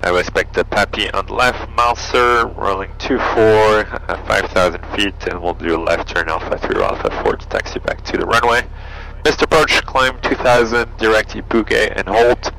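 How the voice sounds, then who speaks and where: A man's voice: lowish voice, normal speed, vocal fry, pushed and bright, rough recording. A man piloting a small plane, talking through procedural briefings and checklists in clipped phrases, thinking aloud. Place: small airplane cockpit. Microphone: aviation headset. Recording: engine and cockpit noise behind voice.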